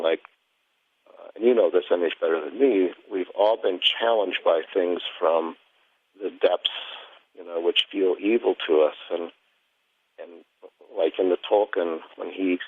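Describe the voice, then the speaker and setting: Low-voiced man on a phone line. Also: no room sound. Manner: halting, thoughtful phrasing in short pieces. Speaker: interview guest. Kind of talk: reflective conversational explanation. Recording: thin, narrow telephone line.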